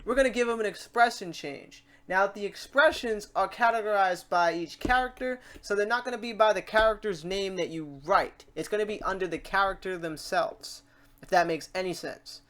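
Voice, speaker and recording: Casual, playful woman walking through software. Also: mid-range voice, normal speed. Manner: informal, playful, talks through each step aloud. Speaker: tutorial host. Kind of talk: casual screen-recorded software tutorial.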